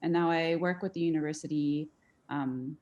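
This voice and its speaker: A woman's voice: low voice, steady tone, normal speed, a little mellow, muffled recording. A young woman from New Jersey giving an informal introduction as a program coordinator on a panel. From New Jersey, United States.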